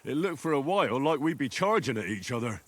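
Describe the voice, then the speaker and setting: An actor playing a reserved young squire with a dry, understated wit in a game cutscene. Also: male voice, medium pitch, very animated, quick pace, natural voice. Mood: wry.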